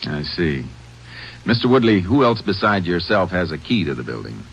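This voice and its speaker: An American actor playing a calm, probing Texas Ranger in an old-time radio crime drama, measured and matter-of-fact. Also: male voice, low voice, gravelly, exaggerated voice. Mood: probing, businesslike. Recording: muffled, noisy old radio broadcast recording.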